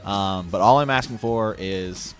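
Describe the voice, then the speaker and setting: A man's voice: fairly low pitch, unhurried. A man hosting a podcast, chatting casually as he makes an announcement with a co-host.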